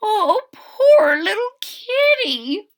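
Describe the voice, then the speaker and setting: A woman's voice: extremely high pitch, sing-song, extremely slow, voice pushed up, full character voice. A woman voicing a very old woman in a storybook, tender and fretful, full of pity. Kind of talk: storybook read-aloud character voice.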